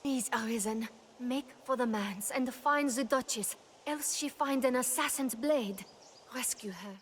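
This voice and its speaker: A woman's voice: a little high, unhurried, a little hiss or hum, lightly acted. A woman playing a devoted, earnest lady's maid in a fantasy game, speaking in a formal, archaic way. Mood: worried pleading.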